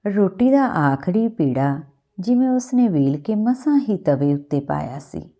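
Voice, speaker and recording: A woman with a Punjabi accent reading a scripted literary story in an expressive, unhurried way. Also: mid-range voice. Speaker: storyteller, host of a story channel.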